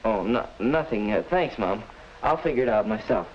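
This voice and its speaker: An actor playing an earnest, polite young student who thinks aloud, in a scripted 1950s educational film with a hissy vintage soundtrack. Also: male voice, fairly low pitch, animated, normal speed, natural voice. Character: earnest teenage male student, polite and reflective.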